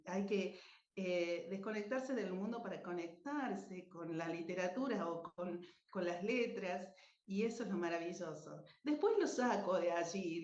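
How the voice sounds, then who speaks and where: Argentine woman, effusive storyteller giving an informal talk, mid-pitched voice, dull and echoey lo-fi sound. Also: a little mellow. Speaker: radio drama writer and speaker at a book fair. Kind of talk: informal conference presentation.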